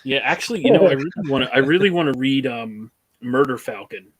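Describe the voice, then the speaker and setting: A man's voice: mid-range voice, lively intonation, fast talker, rough recording. A man in a casual online comics chat who teases the others and laughs often. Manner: jokey, teasing, laughs often. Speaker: comic channel host in group chat. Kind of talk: casual video call chat. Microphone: video call.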